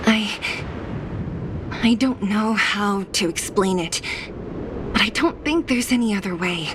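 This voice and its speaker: A woman voices a frank young woman with a caring streak for a game. She speaks plainly and earnestly at medium pitch, over clear rumbling noise. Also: slow pace, close to an everyday voice. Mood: hesitant, sincere. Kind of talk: video game voice acting.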